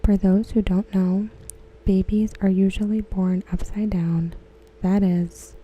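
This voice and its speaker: A woman reading aloud in a soft, breathy, near-whispered ASMR style, gentle and measured, close to the microphone. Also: medium pitch, flat delivery, dull audio. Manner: gentle, hushed, measured delivery. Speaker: ASMR host. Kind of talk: softly spoken ASMR read-aloud of forum comments.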